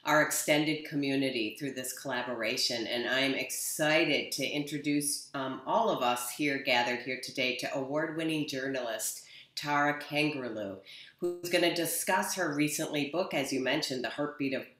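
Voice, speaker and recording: A woman's voice: very deep voice, normal speed, fairly bright tone. A middle-aged woman hosts an online event and reads out an introduction, with a few fillers. She speaks over a laptop mic from an office. The room adds a noticeable echo. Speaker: event host. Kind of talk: reading an introduction.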